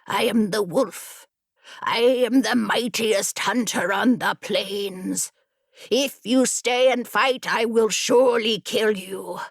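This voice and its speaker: A woman's voice: medium pitch, slow, vocal fry, dry recording, clean studio sound. A woman voicing an arrogant, menacing male wolf in an audiobook fable, with a growling, threatening delivery.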